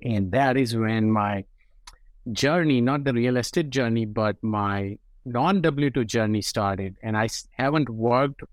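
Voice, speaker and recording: A man's voice: mid-range voice, measured pace, no room sound. Indian-accented man explaining investing. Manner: explanatory, frequent "right?" tags. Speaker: guest investor. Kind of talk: interview conversation.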